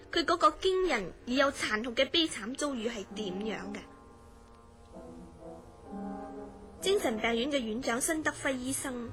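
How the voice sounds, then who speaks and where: An actress playing a concerned young woman in a Cantonese horror radio drama, telling gravely of her troubled friend with a Hong Kong accent and measured, polite delivery. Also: fairly high pitch, natural voice. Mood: solemn, concerned.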